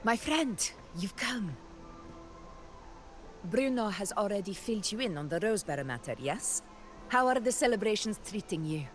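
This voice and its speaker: Woman doing stately warrior leader from a game, medium pitch, formal, faint music. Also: lively intonation, moderate pace, long pauses, lightly acted. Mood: welcoming. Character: stately female warrior leader, warm toward her comrade. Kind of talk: scripted video game dialogue.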